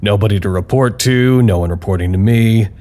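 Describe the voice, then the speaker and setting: An actor playing an anxious, earnest office worker who records wry, reflective, halting confessional reports in an audio drama. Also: male voice, fairly low pitch, warm tone, natural voice. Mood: weary, musing. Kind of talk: audio drama monologue, intimate log narration.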